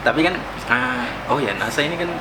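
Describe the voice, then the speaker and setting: A man's voice: fairly high pitch, lively intonation, fairly quick. A man hosting a casual chat, teasing his guest and laughing often, in a noisy recording. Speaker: host. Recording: loud background noise.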